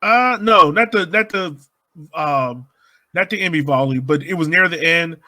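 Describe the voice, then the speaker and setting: A man's voice: fairly high pitch, lively intonation, unhurried, bright, forceful tone. A man co-hosting a podcast, chatting casually through soccer analysis. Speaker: podcast co-host.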